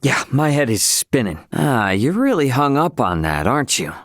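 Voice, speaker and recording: Dry close recording, young starship captain, video game character, medium pitch, brash, cocky, blunt, slangy, hot-headed, loyal. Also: male voice, big pitch swings, moderate pace, no room sound. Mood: pensive, casual.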